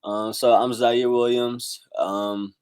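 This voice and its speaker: A man's voice: medium pitch, level tone, takes it slowly, a little mellow, smooth, no echo. A man in his twenties from the United States, a musician giving a casual, slangy, rambling interview. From United States, South Carolina and Northern California. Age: 20s. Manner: slangy, rambling, frequent "you know" and "like". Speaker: musician and rapper being interviewed.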